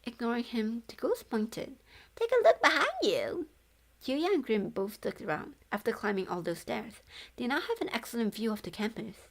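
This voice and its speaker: A woman narrating a novel as a read-along, haltingly, stumbling over words and correcting herself. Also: fairly high pitch, animated, low-quality recording. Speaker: streamer reading a novel aloud.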